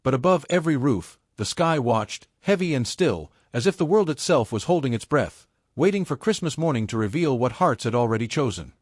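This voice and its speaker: A male storyteller delivers a scripted folktale with grave weight. His pitch moves in animated swings across short, measured phrases. The sound is dry and close, with little room around it. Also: no echo. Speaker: storyteller, narrator.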